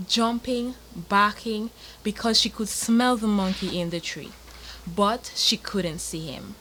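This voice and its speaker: A woman's voice: medium pitch, fairly bright tone, a little hiss or hum, poor audio. A young woman from Montserrat with a Caribbean accent, narrating a children's bedtime story.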